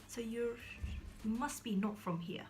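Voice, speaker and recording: A woman's voice: a little high, unhurried, darkish tone, a little hiss or hum. A young woman from Kazakhstan talking to her viewers in a casual vlog, rambling, restarting sentences and sometimes dropping to a whisper. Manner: rambling, searching for words, many fillers and restarts; drops into whispers at times. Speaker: vlogger. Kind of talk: casual talk to camera.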